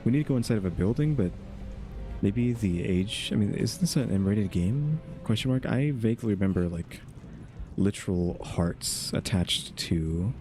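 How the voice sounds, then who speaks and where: A man with a low voice chats his way through a video game. He rambles and thinks aloud, often tossing in questioning asides, while loud music plays behind him. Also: vocal fry. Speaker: gaming streamer. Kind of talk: casual game playthrough commentary.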